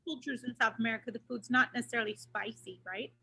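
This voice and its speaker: A woman moderating an online cooking class, asking guiding questions and explaining in a relaxed way over a video call. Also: on the high side, fast, husky. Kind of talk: casual online class chat.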